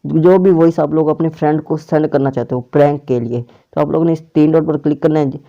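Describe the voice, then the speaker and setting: A man's voice: fairly high pitch, fairly even intonation, fairly quick, vocal fry, dark tone. A man presenting a casual step-by-step app tutorial, often repeating and rephrasing his instructions. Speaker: tutorial host. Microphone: clip-on or plugged-in microphone. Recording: dull, muffled lo-fi microphone.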